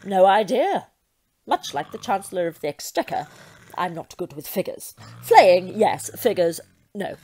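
Satan, husky, swooping and imperious. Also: female voice, medium pitch, sing-song, a little slow, darkish tone. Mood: defensive, dismissive. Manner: imperious, theatrical. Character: Satan, vain imperious female devil, gleefully menacing. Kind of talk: audio comedy drama acting.

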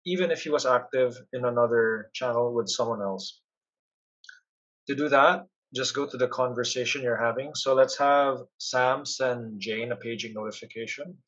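A man with a slightly husky, mid-pitched voice walks through a software demo step by step. His narration is instructional and explanatory, and the recording is a little dull. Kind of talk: instructional screen-share narration.

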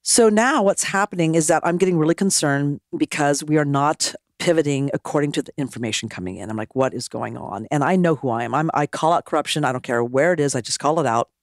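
A woman, a former mayor, telling her story as a podcast guest in an animated way, often acting out what people said. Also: low-pitched, fast, few pauses, dry recording, clean studio sound. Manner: animated, acts out quoted dialogue. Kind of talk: storytelling conversation.

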